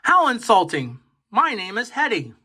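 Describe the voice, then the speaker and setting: A man's voice: high-pitched, wildly swooping pitch, moderate pace, bright, forceful tone, slightly rough, full character voice. A man voicing a big, indignant female mountain monster from a children's storybook, bossy yet helpful. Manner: indignant, emphatic.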